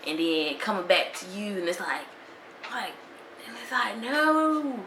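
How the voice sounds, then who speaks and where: A young woman talks straight into the mic, bright and bold. She speaks in a slangy, animated way. The room gives her voice an echo, with a faint hiss underneath. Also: medium pitch, measured pace. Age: 20s. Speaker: podcast host. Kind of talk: casual solo monologue. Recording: echoey room with faint noise.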